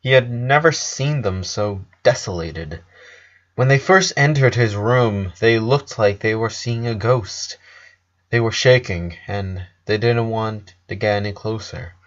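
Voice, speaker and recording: A man reading a scripted story as narrator, in a measured way with pauses between phrases. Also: on the low side. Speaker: fan fiction narrator. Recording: dull lo-fi voice recording.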